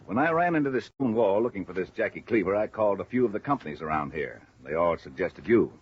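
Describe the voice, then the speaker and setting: An actor playing a talkative, puzzled male insurance man in a 1950s radio drama, chatty and deliberate. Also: low voice, animated, husky. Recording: muffled, noisy old radio recording.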